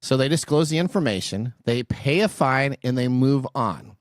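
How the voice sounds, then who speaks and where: A man's voice: medium pitch, expressive, normal speed, no room sound, clean studio sound. A man hosting a tech radio show, explaining and discussing the news in a conversational, explanatory way.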